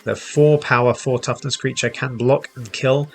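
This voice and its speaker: A man's voice: medium pitch, steady tone, moderate pace, creaky, soft background music. A man hosting a casual game tutorial, explaining each step as he plays. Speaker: host, gaming teacher. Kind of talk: casual tutorial commentary over gameplay.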